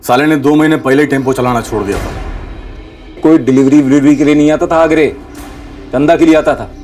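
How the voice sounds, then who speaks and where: An actor playing a rough young gang henchman in a TV crime drama, talking in brisk, coarse street speech. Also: mid-range voice, darkish tone, faint music behind, lightly acted. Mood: irritated. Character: rough young male gang henchman reporting to his boss.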